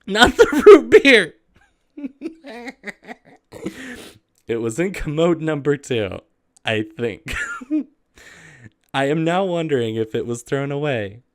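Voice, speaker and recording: Animated man reading funny letters casually. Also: fairly high pitch. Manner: giggly, often breaking into laughter while reading. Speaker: video host reading humorous letters aloud. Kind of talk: casual chat and amused book reading.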